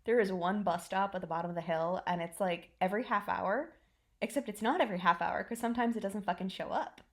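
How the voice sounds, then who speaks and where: A woman's voice: medium pitch, fast talker. A woman in casual, joking chat as a co-host, with an irreverent manner. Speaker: podfic co-creator and host.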